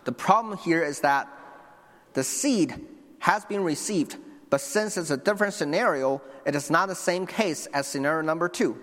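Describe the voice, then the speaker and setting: A man's voice: on the high side, normal speed, fairly bright tone, in a large hall. A young man from China, preaching a sermon earnestly and speaking directly to his congregation. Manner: earnest, with restarts and asides to the congregation.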